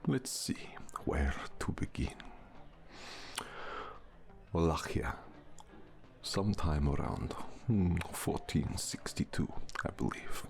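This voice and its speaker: Casting: male actor as ancient vampire count, extremely low and slow, suave, formal, intimate ASMR drama with music. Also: exaggerated voice. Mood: reminiscing, grave. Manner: formal, measured, suave, often breathy. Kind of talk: intimate ASMR audio roleplay. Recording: music behind the voice.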